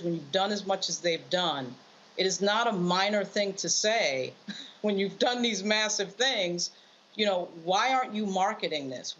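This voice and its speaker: A woman's voice: mid-range voice, fairly bright tone, dull audio, slight background noise. An American woman giving emphatic, argumentative political commentary off the cuff as a panelist.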